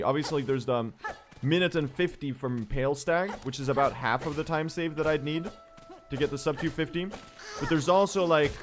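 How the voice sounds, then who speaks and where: A young man talks to his livestream audience in a loose, rambling way, often turning to chat with questions. His voice sounds hoarse and a bit worn out, yet he stays upbeat and excitable, with a middling pitch. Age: young adult. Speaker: speedrun streamer. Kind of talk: casual livestream chat to viewers.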